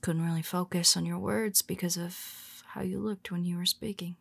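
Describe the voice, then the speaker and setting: A woman playing a weary, teasing female superhero who speaks softly to her nemesis, often dropping to a whisper. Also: lowish voice, steady tone, moderate pace, vocal fry, slightly rough, dry recording. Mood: wry teasing. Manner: teasing, soft-spoken, slips into whispers. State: tired. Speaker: audio roleplay performer. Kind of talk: intimate audio roleplay.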